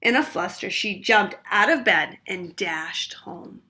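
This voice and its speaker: Bright, lively woman reading a storybook aloud. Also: mid-range voice. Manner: pauses to ask listeners questions. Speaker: storytime reader. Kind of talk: read-aloud storytime narration.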